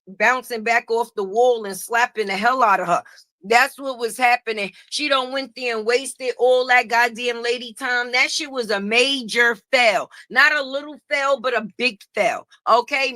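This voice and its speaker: Loud sassy high-voiced woman streamer. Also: dry recording. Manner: sassy, loud, greets viewers by name. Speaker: live stream host. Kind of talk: casual gossip commentary.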